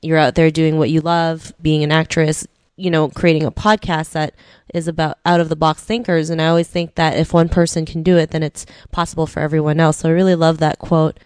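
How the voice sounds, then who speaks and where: A woman hosts an interview podcast in a slightly low-pitched voice. She speaks conversationally, dropping in "you know" often. The sound is dry, with very little room in it. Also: little pitch movement, fairly quick, darkish tone, clear, resonant tone, no room sound. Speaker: podcast host.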